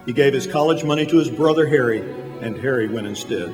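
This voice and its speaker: An actor playing a patient heavenly narrator in a radio drama, telling a story in scripted narration. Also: male voice, mid-range voice, quick pace, natural voice. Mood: patient, explaining. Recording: hall reverb, music behind.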